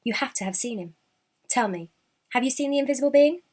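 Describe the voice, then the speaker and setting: A woman's voice: high-pitched, animated, fast talker, darkish tone. A woman voicing the stern, knowing sister of an invisible being in a folktale, commanding and probing. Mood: testing.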